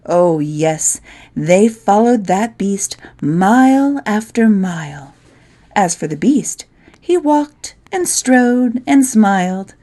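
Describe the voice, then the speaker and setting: A woman reads rhyming verse as a storybook narrator, playful and theatrical, with measured phrasing. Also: mid-range voice, lively intonation, mellow. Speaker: poetry reader.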